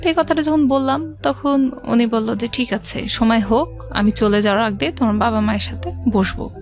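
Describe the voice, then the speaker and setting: A middle-aged Bangladeshi woman tells a personal story as an interview guest. Her voice is on the high side, and she talks conversationally with English words mixed in. Faint music plays behind her. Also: normal speed. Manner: conversational, quotes past dialogue, mixes in English words. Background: background music.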